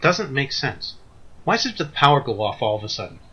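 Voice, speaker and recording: A man playing a husky, puzzled suburban husband. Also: mid-range voice, sing-song, brisk, a bit bright, lo-fi sound. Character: Steve, puzzled level-headed suburban neighbour and husband. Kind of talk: radio play acting.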